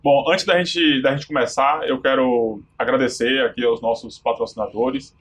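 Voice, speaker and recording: A man's voice: mid-range voice, fairly even intonation, normal speed. A man hosting a podcast, introducing guests in a casual, conversational way. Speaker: podcast host.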